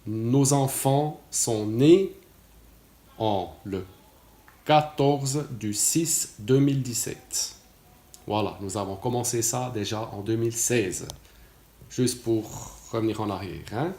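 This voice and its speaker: A man's voice: mid-range voice, takes it slowly, mellow, echoey room. A Swiss man in his late forties with a Swiss French accent, giving a casual testimonial, talking hesitantly with many fillers and restarts. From Switzerland. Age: 40s.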